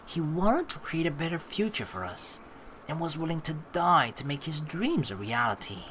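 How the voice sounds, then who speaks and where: A let's player reading game text aloud and giving casual, rambling, halting commentary as they think out loud. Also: mid-range voice, big pitch swings, slight background noise, poor audio.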